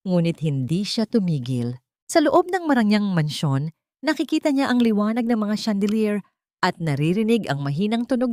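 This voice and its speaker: Low-pitched woman narrating dramatic fiction. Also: darkish tone, dry recording, studio quality. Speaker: audiobook narrator. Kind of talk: dramatic scripted story narration.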